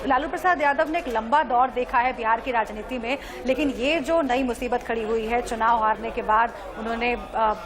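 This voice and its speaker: A woman's voice: a little high, moderate pace, few pauses, crowd noise. A woman giving live, unscripted analysis as a news correspondent in a newsroom.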